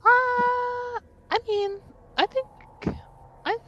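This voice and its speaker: A woman's voice: extremely high pitch, fairly even intonation, very slow, muffled recording, slight background noise. A woman playing a tabletop roleplaying game, chatting casually in a playful, slightly hesitant, improvised way.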